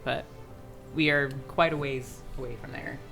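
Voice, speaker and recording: A woman talks informally as a podcast co-host in a casual group chat. Her voice sits at a middling to low pitch, with music playing behind it. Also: fairly even intonation, normal speed.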